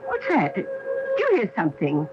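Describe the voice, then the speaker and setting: A woman's voice: mid-range voice, wildly swooping pitch, normal speed, music in the background. An actress in a radio drama playing a genteel, questioning older small-town lady. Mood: eerie wonder. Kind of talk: 1980 radio drama acting. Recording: noisy, dull old radio broadcast.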